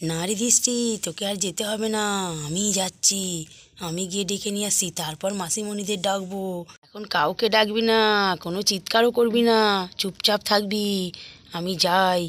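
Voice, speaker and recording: A woman voicing a protective, bossy village mother in a children's cartoon, fussing and hushing in colloquial talk. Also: mid-range voice, normal speed, lightly acted. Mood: worried, hushing.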